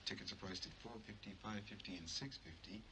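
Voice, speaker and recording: Low-voiced American radio announcer. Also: male voice, fast talker. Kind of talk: radio event listings read. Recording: hissy archival radio recording. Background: clear background noise.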